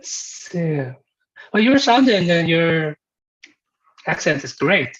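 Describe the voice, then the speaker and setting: Korean-accented man, breathy on a dull line. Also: on the high side, sing-song, slow pace, a bit bright. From Changwon, South Korea. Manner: friendly, enthusiastic, speaks in short phrases. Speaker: host, moderator. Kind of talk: casual chat on a video call.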